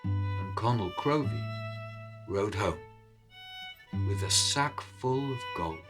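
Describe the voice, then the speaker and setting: A man telling a story in a measured, dramatic way, with deliberate pauses between phrases. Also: on the low side, lively intonation. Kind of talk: dramatic oral storytelling narration with music. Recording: cello and violin music behind.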